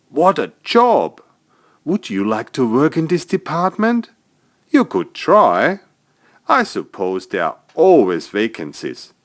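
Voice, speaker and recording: A man narrating a scripted bedtime story for small children as its author, in an expressive storytelling manner. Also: on the low side. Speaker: author narrating own stories.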